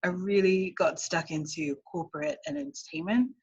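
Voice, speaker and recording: A woman with a London English accent, speaking as an immigration lawyer guest in a casual interview, thoughtful and halting with many fillers. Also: lowish voice, animated, gravelly, darkish tone, slightly rough, dull audio. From England.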